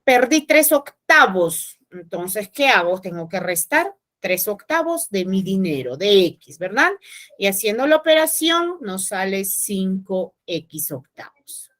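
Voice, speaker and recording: A woman's voice: medium pitch, very animated, slow, a bit bright. A woman teacher, explaining math step by step in an online class and often checking with her students.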